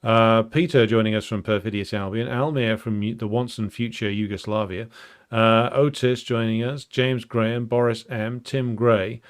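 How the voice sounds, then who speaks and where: A man hosting a live stream, chatting casually and reading out viewer comments, halting with many ums and uhs. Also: fairly low pitch, steady tone, clear, resonant tone. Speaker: livestream host.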